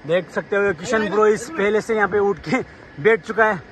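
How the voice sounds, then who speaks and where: A man's voice: high-pitched, normal speed, crowd noise. A young man in his twenties chatting casually into his phone camera, narrating as he climbs a rocky hilltop outdoors. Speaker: vlogger.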